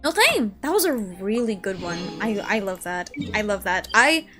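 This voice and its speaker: A woman in her early twenties chatting casually on a gaming stream, excitable and gushing, rambling as she goes. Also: medium pitch, normal speed. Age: early 20s. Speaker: streamer and video essay creator. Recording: music behind the voice. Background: game music.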